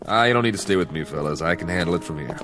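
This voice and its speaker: Deep-voiced, theatrical investigator. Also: male voice, expressive. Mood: calm. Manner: deliberate, probing, theatrical, with pauses and rhetorical questions. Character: self-assured male aviation investigator chasing an uncanny theory. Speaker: investigator for an aviation agency. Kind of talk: dramatic radio drama acting. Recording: music behind the voice.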